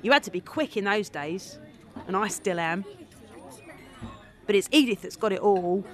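A woman's voice: mid-range voice, animated, moderate pace, a bit bright, a faint crowd, natural voice. A woman playing a brash, tough young woman who narrates, blunt and coarse-tongued. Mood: wry. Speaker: narrator and character. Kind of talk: radio drama acting and narration.

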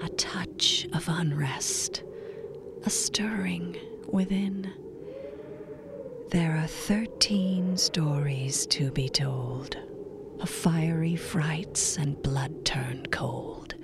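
A woman performs an ominous storyteller in a low, breathy whisper. The eerie narration creeps along very slowly, with clear noise behind it. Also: steady tone, heavily acted. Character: ominous spooky storyteller reciting rhymed prologue. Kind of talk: slow, eerie scripted narration.

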